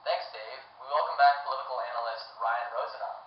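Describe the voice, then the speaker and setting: A formal male news anchor, played by a man, hosts a mock newscast at a medium pitch. He sounds far from the microphone in an echoey room, slightly muffled. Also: steady tone, fast talker, close to an everyday voice. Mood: composed.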